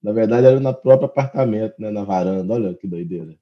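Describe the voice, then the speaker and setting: A man's voice: on the low side, quick pace, dull audio. A Brazilian man co-hosting a podcast, chatting casually. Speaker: podcast co-host. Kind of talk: casual chat.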